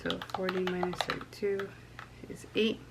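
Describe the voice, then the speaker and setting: A woman's voice: on the low side, little pitch movement, normal speed, very noisy. A young woman with a stuffy nose from a cold, chatting casually to camera and counting cash aloud with frequent ums. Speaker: budgeting vlogger.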